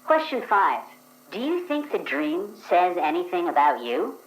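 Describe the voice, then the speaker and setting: A woman playing the reader of a dream questionnaire, asking each question in a crisp, procedural way. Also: lowish voice, very animated, measured pace, low-quality recording. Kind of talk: actual play, reading scripted questions.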